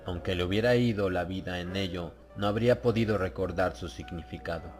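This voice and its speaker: A man's voice: deep voice, brisk, a little mellow, faint music behind. A man narrating a dramatized horror audiobook.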